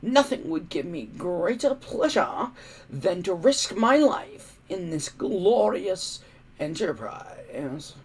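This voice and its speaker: A smooth storybook villain performed by a man speaks in a raised, swooping voice. He draws out his words grandly and slowly, oozing false regret like the cowardly schemer he is. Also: high-pitched, very animated, voice pushed up, exaggerated voice. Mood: feigned regret. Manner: oily, drawn-out, grandiose speechmaking.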